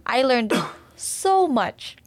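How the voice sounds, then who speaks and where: A woman chatting casually and agreeably, quick to laugh and chime in. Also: sits high, very animated. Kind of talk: casual chat.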